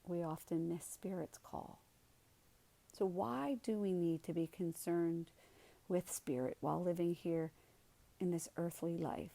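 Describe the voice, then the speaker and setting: Slow, mellow woman guiding a meditation. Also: fairly low pitch, creaky. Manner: soothing, unhurried instruction with gentle pauses. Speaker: guided meditation leader. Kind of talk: guided meditation.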